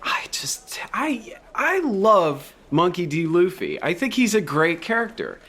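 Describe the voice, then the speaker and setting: A man casually answering fan questions in an animated, off-the-cuff way as a podcast player. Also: mid-range voice. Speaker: podcast cast member, player.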